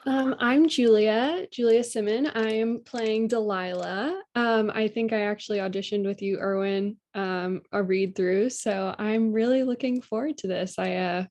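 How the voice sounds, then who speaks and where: A woman's voice: mid-range voice, measured pace, few pauses, a little mellow, dry recording. A woman speaking as an actor, casually introducing herself on a video call. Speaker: actor at a table read. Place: virtual meeting on a video call.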